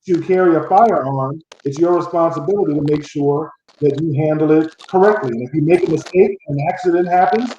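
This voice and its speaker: A man's voice: on the high side, brisk, few pauses, dark tone, a little hiss or hum. A man on a video call panel, making his point firmly and with emphasis. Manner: firm, emphatic. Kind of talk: casual panel discussion. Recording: dull echoey room audio.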